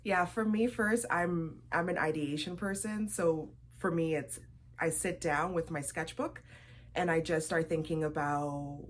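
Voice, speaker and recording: A woman's voice: sits low, fairly even intonation, measured pace, a little hiss or hum. A woman from Trinidad, a knitwear designer, chatting casually as a guest and laughing often, with many "like" fillers. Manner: giggly, chatty, lots of "like" fillers. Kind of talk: casual interview chat.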